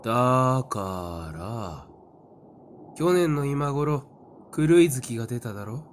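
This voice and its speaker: A man's voice: medium pitch, animated, takes it slowly, slight background noise. A man acting a cynical young seer in an audio drama, nonchalant, flippant and coolly taunting. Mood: matter-of-fact.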